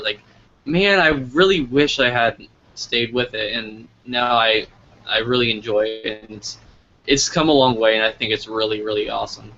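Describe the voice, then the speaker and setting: A man's voice: mid-range voice, pushed and bright. An American man interviewing a guest in a casual, chatty style. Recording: lo-fi audio with clear background noise.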